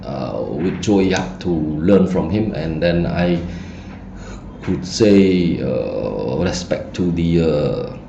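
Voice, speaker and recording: A man from Cambodia with a Cambodian accent, whose first language is Khmer, giving a feng shui lesson as an online presentation in halting English, full of fillers and restarts. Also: extremely low pitch, lively intonation, creaky. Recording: dull muffled recording, roomy echo, faint noise.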